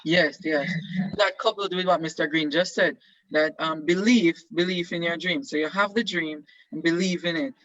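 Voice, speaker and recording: A man teaches a class over a video call with a bright, fairly high voice. He encourages his students and keeps asking them questions, filling pauses with "um". The audio is dry and a bit lo-fi. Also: steady tone, no echo. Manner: encouraging, questioning, many fillers. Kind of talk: leading an online class discussion.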